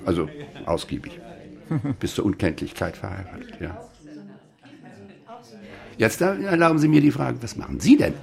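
A man's voice: fairly low pitch, big pitch swings, moderate pace, creaky, pauses often, full character voice. An actor playing a wry, courteous older man in an improvised radio drama, droll and self-deprecating. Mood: wry, flirtatious.

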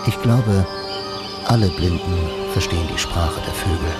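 Brooding blind noir narrator played by a man, deep register, terse and dreamy, radio play, strong music bed. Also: normal speed, natural voice. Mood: wistful. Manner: terse, lyrical sentences. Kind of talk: first-person radio drama narration. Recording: music under the voice.

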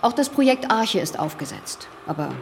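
An actress playing a cautious, businesslike government official giving a formal report in a radio play. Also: female voice, on the high side, big pitch swings, moderate pace. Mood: businesslike, concerned. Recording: reverberant hall with faint noise.

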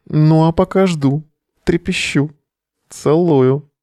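A man's voice: on the high side, slow pace, dark tone, dry recording, studio quality. A male actor playing a weary, sardonic bohemian in an audio play, delivering a verse monologue over the phone in an ironic, declamatory way. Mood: playfully ironic.

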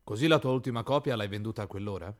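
A man's voice: on the low side, very fast, lightly acted. A man acting in an absurdist radio play as an inquisitive, literal-minded tea stall man, questioning and repetitive. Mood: puzzled, inquisitive. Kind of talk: absurdist radio drama dialogue.

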